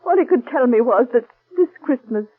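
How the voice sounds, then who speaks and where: An actress playing a worried working-class wife who speaks anxiously and haltingly, in 1940s radio acting on an old broadcast. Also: female voice, fairly high pitch, lively intonation, slightly rough, dry recording, narrow, tinny audio. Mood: pleading. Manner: anxious, halting, plain-spoken.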